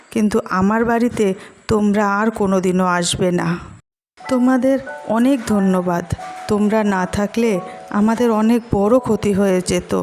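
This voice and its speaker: A woman's voice: medium pitch, a little mellow, lightly acted. A woman playing a wronged but forgiving village mother in a Bengali cartoon drama, speaking in an earnest, plaintive way. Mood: hurt, reproachful.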